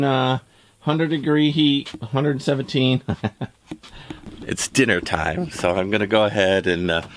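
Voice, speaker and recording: A middle-aged man narrating his work casually, thinking aloud as a home-building vlogger. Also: mid-range voice. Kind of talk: casual narration while working. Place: construction site, outdoors and in a small unfinished insulated room. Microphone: camera microphone, sometimes across the room. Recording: clear background noise, distant at times.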